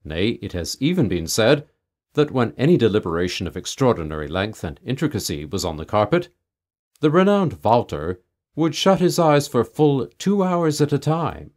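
A man reading a literary tale aloud as a narrator, in a measured, formal storytelling manner. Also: medium pitch, sing-song, darkish tone. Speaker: audiobook narrator.

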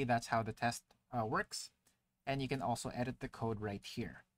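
Male tutorial narrator, mid-pitch, relaxed explainer voice-over, loose and chatty with fillers. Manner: casual explaining with frequent "uh" fillers. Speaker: tutorial presenter. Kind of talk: screen-recorded software tutorial. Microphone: voice-over to screen recording.

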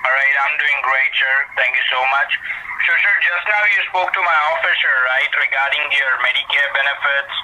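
A man's voice: medium pitch, a little fast, bright, forceful tone. Polite man on a noisy phone line. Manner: polite and formal, says sir a lot. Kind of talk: phone call.

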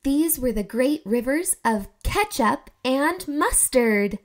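A young woman reads a children's story with a high, lively voice. Her phrasing is slow and deliberate, with expressive emphasis as she narrates for young listeners. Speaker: children's read-aloud host. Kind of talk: animated scripted children's storybook reading.

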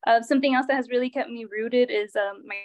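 A young woman from Southern California speaks as a panelist on a video call. She talks casually, with many ums and uhs. Her voice sits a little high. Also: fairly even intonation, fast, soft background music. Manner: chatty, filler-heavy.

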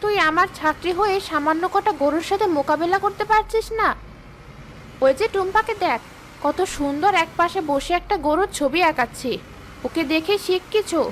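A woman's voice: very high-pitched, normal speed, slight background noise. A woman voicing a bossy, scolding female ghost schoolteacher in a children's cartoon. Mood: exasperated. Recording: faint cartoon music behind.

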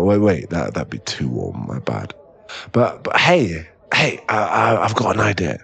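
Man acting a deep, breathy, bashful boyfriend. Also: big pitch swings, a bit bright, exaggerated voice. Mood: tipsy, playful. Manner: halting, stammering, repeats words. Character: young male millionaire boyfriend, shy, later tipsy and clingy. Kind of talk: ASMR boyfriend roleplay audio drama.

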